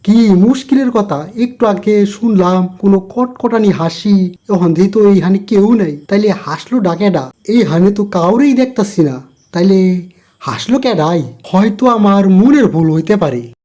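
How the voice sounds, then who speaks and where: Dark-voiced, bossy cartoon tiger played by a woman. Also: mid-range voice, expressive, unhurried. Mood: puzzled. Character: bossy tiger boss of a village, suspicious and gruff.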